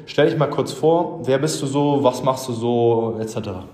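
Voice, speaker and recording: A man's voice: medium pitch, normal speed, a little mellow. Rambling male coach in an echoey office. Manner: rambling, repeats words, many fillers. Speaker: fitness coach and host. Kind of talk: casual interview. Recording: echoey room with long reverb.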